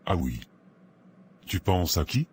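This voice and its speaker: A man's voice: sits low, sing-song, takes it slowly, darkish tone, full character voice. A man playing a supportive, practical business friend in a cartoon drama, agreeable, with faint noise. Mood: interested. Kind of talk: animated moral drama dialogue.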